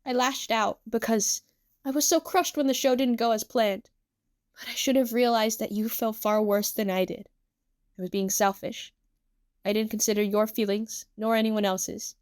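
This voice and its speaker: A woman's voice: on the high side, fairly even intonation, moderate pace, a little mellow, no room sound, close to an everyday voice. Woman acting teenage boy, earnest, theatrical, take-charge, emphatic delivery, storybook character, dry sound. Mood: apologetic.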